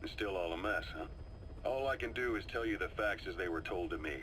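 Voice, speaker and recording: A man playing a seasoned, calm and knowing military adviser in a video game, briefing his commander in a measured, confiding storytelling delivery. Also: sits low, a little hiss or hum, low-quality recording. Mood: matter-of-fact, confiding. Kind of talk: video game voice acting, dramatic briefing monologue. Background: music.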